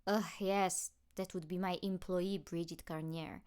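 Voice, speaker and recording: A woman's voice: lowish voice, fairly even intonation, a little slow, darkish tone, clean tone, lightly acted. A woman playing a vain, self-important young man with a haughty manner in an audiobook. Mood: annoyed.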